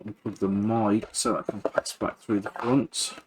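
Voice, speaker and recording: A man giving casual hands-on repair commentary as a video host, halting and thinking aloud while he works. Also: on the low side, little pitch movement, very noisy.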